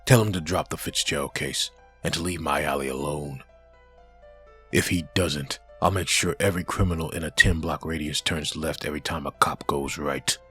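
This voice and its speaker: An undead antihero with an extremely low voice and a sharp, forceful edge. He delivers commanding threats in a comic book audio drama, with music faintly behind him. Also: fairly even intonation, normal speed, slightly rough, heavily acted. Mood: cold menace. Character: menacing undead male antihero threatening crooks and cops.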